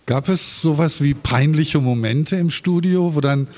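Higher-pitched male moderator, articulate. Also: measured pace, darkish tone. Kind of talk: stage interview, measured questioning.

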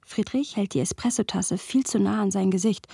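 A woman's voice: medium pitch, a little fast, creaky, warm tone, breathy edge, no echo, studio quality. A woman playing a young woman who narrates a radio play and teases her friend, wry and observant. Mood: wry, reflective. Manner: wry, observant storytelling mixed with playful dialogue.

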